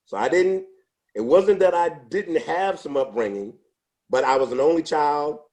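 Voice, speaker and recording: A man in his sixties, a podcast interview guest, telling his life story in an unhurried, reflective way with frequent restarts. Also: fairly high pitch, animated, darkish tone. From United States. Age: 60s. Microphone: podcast microphone.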